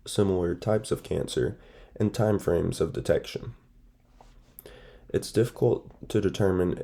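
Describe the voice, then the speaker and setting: Young male student presenter, nasal and stuffy, low gravelly voice, formal measured read. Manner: measured, formal, steady delivery. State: stuffy from a sinus infection.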